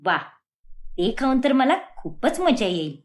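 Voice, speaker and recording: Simple older cartoon mum, naive, good-natured, animated delivery, performed by a woman. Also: high-pitched, measured pace. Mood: naive curiosity. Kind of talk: cartoon moral-story acting.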